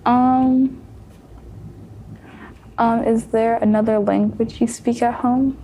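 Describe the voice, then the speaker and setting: A young woman with a mellow, slightly high voice asks questions slowly, filling gaps with soft ums. Faint background hiss runs under her. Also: flat delivery. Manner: soft, hesitant, many ums. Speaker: student moderator asking questions. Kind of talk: casual panel discussion.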